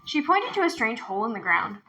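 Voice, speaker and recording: A woman narrating a children's novel aloud in an expressive storytelling style. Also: on the high side, fairly quick.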